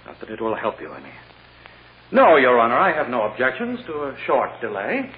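An actor playing a grudging, flustered courtroom lawyer, blustering in 1940s radio drama style. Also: male voice, medium pitch, wildly swooping pitch, fairly quick, narrow, tinny audio. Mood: grudging, exasperated. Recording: thin, noisy old radio recording.

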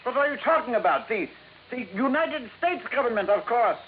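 A secretive, hostile scientist in a vintage radio drama. His voice sits very high, with an imperious, stilted delivery. The recording is dull, with faint noise. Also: very animated, moderate pace. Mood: haughty, suspicious. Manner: pompous, imperious, stilted formal phrasing. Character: hostile, boastful, secretive inventor guarding his laboratory. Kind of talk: 1950s radio acting.

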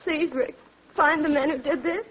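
A woman's voice: sits high, normal speed, close to an everyday voice. An actress playing an earnest, worried young woman in a 1940s radio drama. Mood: pleading. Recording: muffled old radio recording.

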